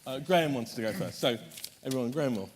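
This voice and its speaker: A man's voice: mid-range voice, fast talker, heavy background noise. A man chairing a conference panel, introducing the speakers in a chatty way, correcting himself often and making light jokes.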